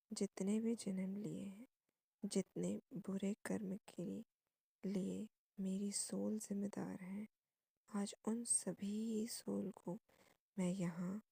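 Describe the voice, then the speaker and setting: An Indian woman leads a guided meditation in a soft, husky, breathy voice. Her tone is mellow and hushed, recorded close and dry. She places short phrases between long pauses. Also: mid-range voice, dry recording.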